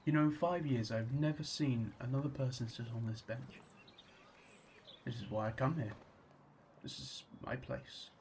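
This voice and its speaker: Introverted young male played by an actor, creaky voice, confiding and hesitant, radio drama monologue, faint noise behind. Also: medium pitch, moderate pace, darkish tone, close to an everyday voice. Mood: reflective. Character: introverted young man musing alone on his bench.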